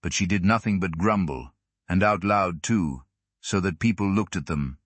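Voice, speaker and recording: A man's voice: sits low, moderate pace, dry recording. A man reading classic fiction aloud as scripted audiobook narration. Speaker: audiobook reader.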